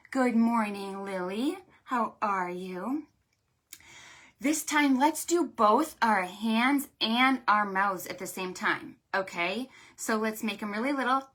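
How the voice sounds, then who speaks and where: Woman, children's librarian, high-pitched, unhurried, sing-song, exaggerated child-directed delivery, storytime hosting. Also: bright, forceful tone. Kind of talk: preschool storytime, talking to small children.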